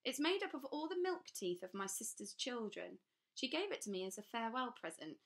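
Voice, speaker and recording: A woman's voice: fairly high pitch, sing-song, brisk, pitched above the performer's own voice. A woman reading a storybook, voicing a plain governess who speaks in an expressionless voice. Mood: deadpan. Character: dry, plain governess, unsentimental.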